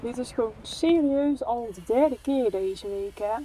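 A woman acting an idealistic young sister in a radio play, chatting earnestly in a natural way. Her voice is high and soft-edged, with faint ambient noise behind it. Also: unhurried, lightly acted, rough recording. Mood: annoyed. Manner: conversational, earnest.

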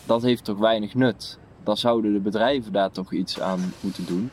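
A man's voice: fairly low pitch, moderate pace, natural voice. A young man playing an easygoing, slightly puzzled brother in naturalistic radio play dialogue, casual and questioning. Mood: doubtful, curious. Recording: faint station ambience noise.

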